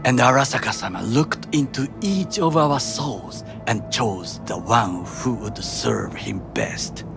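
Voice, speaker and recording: A man playing a proud, principled ex-soldier bodyguard in a video game, speaking formally and deliberately with a Japanese accent. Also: medium pitch, lively intonation, creaky. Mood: stern, proud. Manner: formal, measured, deliberate phrasing without slang. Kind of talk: video game cutscene acting. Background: music under the voice.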